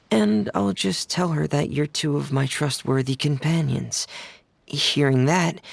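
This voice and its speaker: A man playing a shy, reserved, gentle teenage boy in a video game cutscene, hesitant, polite and apologetic. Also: a little high, creaky, no room sound, heavily acted. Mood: hesitant, reassuring.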